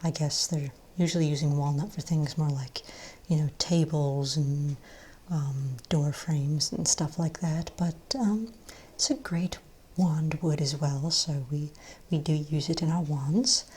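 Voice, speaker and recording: A woman speaking softly and breathily, often nearly whispering, as a gentle, unhurried, reassuring shopkeeper in an ASMR role play. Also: low voice, breathy edge. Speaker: ASMR role-play performer as wand shop owner. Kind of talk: soft-spoken ASMR role play, improvised sales patter.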